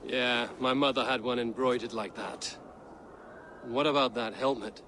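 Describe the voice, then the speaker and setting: A man's voice: mid-range voice, steady tone, moderate pace, fairly bright tone, slight background noise, close to an everyday voice. An actor playing a stern, blunt male Viking warrior in a video game cutscene. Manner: blunt, stern, honor-bound.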